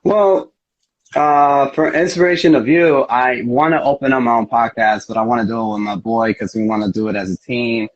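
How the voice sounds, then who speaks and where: A man's voice: mid-range voice, fairly even intonation, moderate pace. A man speaking casually as a fighter being interviewed, telling things in an earnest, rambling way. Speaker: MMA fighter, interview guest. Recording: dull lo-fi audio.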